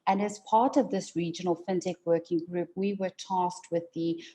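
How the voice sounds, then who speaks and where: Low, mellow woman from South Africa, formal legal speaker, measured with some fillers. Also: fairly even intonation. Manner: measured, formal, with frequent fillers and repeated words. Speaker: attorney giving a conference talk. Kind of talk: formal prepared presentation.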